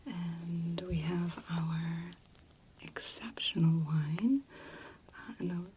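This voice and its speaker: A woman playing a friendly, confiding medieval fantasy tavern server in a hushed, gentle ASMR role play. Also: lowish voice, drawn-out words, background noise. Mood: gentle, welcoming.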